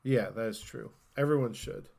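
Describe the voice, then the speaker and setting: A man's voice: medium pitch, moderate pace, gravelly, darkish tone. Casual man hosting a podcast. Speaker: podcast host. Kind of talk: casual podcast chat about gaming news.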